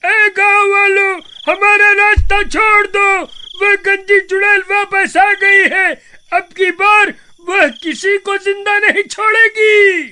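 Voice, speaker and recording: A man's voice: very high voice, little pitch movement, takes it slowly, bright, forceful tone, exaggerated voice. A man playing a cowardly, panicky village barber in a cartoon horror story, wailing and exclaiming. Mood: alarmed.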